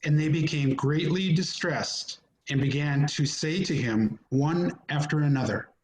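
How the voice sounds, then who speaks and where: A man's voice: fairly high pitch, measured pace, darkish tone, low-quality recording. A man narrating scripture from a script, recorded at home over a video call.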